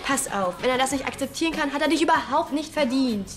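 A woman's voice: high voice, expressive, fast, over music. An actress playing a blunt, supportive teenage girl giving advice in a TV series. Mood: blunt concern. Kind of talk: TV series acting.

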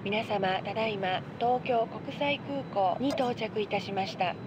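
A woman playing a polite, formal airline announcer in a game, reading a measured public announcement. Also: mid-range voice, natural voice. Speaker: cabin and airport announcer. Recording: muffled, under loud aircraft noise.

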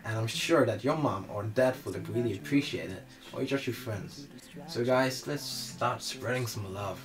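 Young male vlogger, mid-pitched, informal and a little halting, music underneath. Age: young adult. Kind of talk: casual chat to camera. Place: small indoor room.

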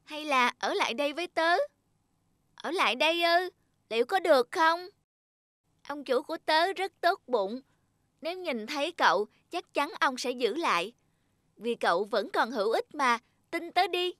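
A woman voicing an earnest, childlike little talking household object in a children's cartoon, with an expressive manner. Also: high voice, moderate pace, long pauses, dry recording, clean studio sound. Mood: polite, friendly. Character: childlike talking cup and flashlight, eager, easily frightened young friends. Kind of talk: dubbed children's cartoon dialogue.

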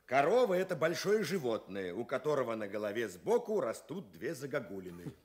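Animated male children's radio host. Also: mid-range voice, brisk. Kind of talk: scripted Soviet radio show for schoolchildren, reading letters aloud.

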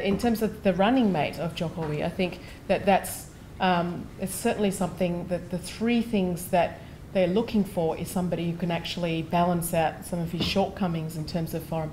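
A woman on a seminar panel giving a measured, hedging answer to an audience question in a lecture theatre. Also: on the low side, dark tone, a little hiss or hum, poor audio.

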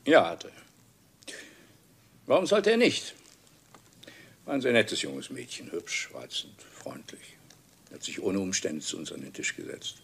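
An actor playing an agitated, defensive factory owner in a crime radio play, jumpy and repeating himself. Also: male voice, sits low, huge pitch swings, unhurried, vocal fry, pauses often, a bit bright, slightly rough, exaggerated voice. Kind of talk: dramatic radio play acting.

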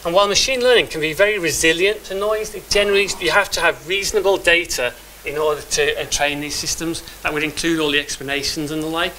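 A middle-aged man speaking to a room, his voice bright and a touch high. He talks loosely, stopping to restart sentences and filling pauses as he goes. A faint hiss sits under the recording. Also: rough recording. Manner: conversational, many restarts and fillers. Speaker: conference speaker. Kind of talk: live tech talk with demo. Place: meeting room.

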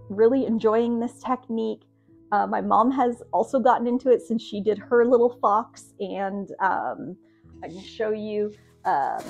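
A woman's voice: medium pitch, creaky. A woman in her forties chatting casually to the camera from her home craft studio, with lots of ums and restarts. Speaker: craft vlogger.

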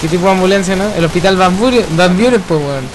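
A man's voice: high voice, quick pace. A man with a Chilean accent chatting casually and giving directions during a co-op game, swearing often. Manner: foul-mouthed, giving directions. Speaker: video game player. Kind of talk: casual co-op gaming chatter with callouts. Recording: game noise loudly over the voice.

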